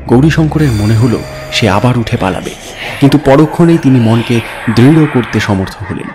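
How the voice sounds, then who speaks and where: Deep-voiced man narrating horror, music behind. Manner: suspenseful, deliberate, theatrical delivery in short phrases. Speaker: audio story narrator. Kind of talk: dramatic scripted narration of a horror story. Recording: voice over background music. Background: music bed.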